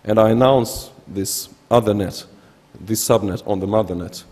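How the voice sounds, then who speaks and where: A man in his thirties from Sweden, with a Swedish accent, giving an informal technical conference talk in a halting way, often restarting words. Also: fairly low pitch, a little mellow. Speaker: researcher presenting at a conference. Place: large indoor conference hall, at a lectern. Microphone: gooseneck lectern microphone. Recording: reverberant lecture hall.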